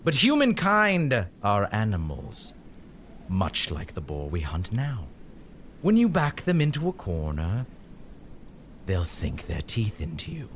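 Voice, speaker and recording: Sneering, proud knight with dramatic swings. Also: male voice, on the low side, huge pitch swings, takes it slowly, a little hiss or hum, heavily acted. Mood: scornful, impassioned. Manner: scornful, theatrical, swinging from bluster to menace. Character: bitter, sneering older English knight, menacing and proud. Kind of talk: audio drama acting.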